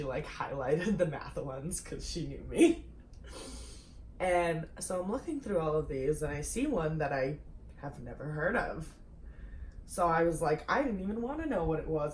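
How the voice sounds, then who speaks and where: A young woman from Russia, a native Russian speaker, telling rambling stories in casual chat, laughing and swearing often. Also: fairly low pitch. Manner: giggly, swears freely, rambling storytelling. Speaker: podcast host. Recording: echoey room, distant mic, faint noise.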